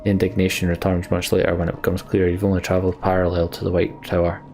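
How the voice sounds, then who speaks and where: A man with a gravelly voice reading a game's story text theatrically as a let's play streamer. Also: low-pitched, completely flat delivery, quick pace, darkish tone, a little hiss or hum. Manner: theatrical, acts out the characters' lines. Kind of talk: dramatic reading of game story text, with asides. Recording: game music behind the voice. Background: game music.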